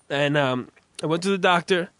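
Male podcast host, raspy after a cough, profane rambler, slightly high voice, dry sound. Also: measured pace, fairly bright tone, no room sound. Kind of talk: crude comedic podcast chat.

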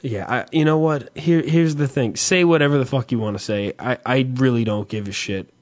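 A man's voice: mid-range voice, fairly quick. A man chatting casually, swearing freely and ranting, his voice climbing when he gets worked up. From New Jersey. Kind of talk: casual podcast chat.

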